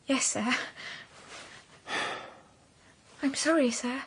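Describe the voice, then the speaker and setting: An actress playing a meek, submissive, very young bride in a radio drama, timid and polite in manner. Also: female voice, sits high, moderate pace, slight background noise, lightly acted. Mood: meek apology. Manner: timid, polite, brief replies.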